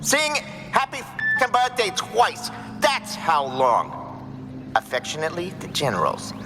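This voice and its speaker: A woman's voice: on the low side, huge pitch swings, measured pace. A woman voicing a stern, exasperated official notice in an emphatic, bossy announcement style. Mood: stern, officious. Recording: long hall reverb, loud music.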